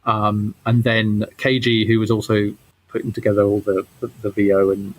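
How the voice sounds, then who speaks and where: A man giving casual interview answers as a game developer, halting and often repeating his words. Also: fairly low pitch, little pitch movement, rough recording.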